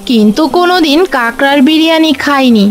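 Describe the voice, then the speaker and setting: A woman's voice: high-pitched, lively intonation, brisk. A woman voicing an eager, admiring village customer in a cartoon fairy tale.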